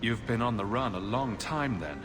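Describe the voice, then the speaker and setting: An actor playing a composed, sympathetic male adventurer in a video game, asking questions in a measured way. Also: on the low side, lively intonation, lightly acted. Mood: curious, sympathetic. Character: composed, helpful young male hero adventurer, sympathetic and resolute. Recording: music behind the voice. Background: game music.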